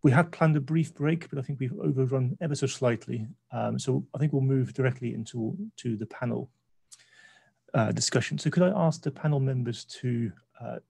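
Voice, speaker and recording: A man's voice: medium pitch, normal speed, gravelly, dark tone, husky. A man in his forties politely moderating an academic webinar panel on a video call, with frequent hesitations.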